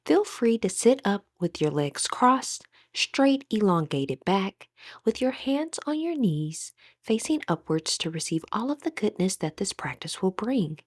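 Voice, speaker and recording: Woman, meditation instructor, slow gentle delivery, lilting pitch, breathy tone, scripted guidance. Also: big pitch swings, no echo, clean studio sound. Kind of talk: scripted guided meditation narration.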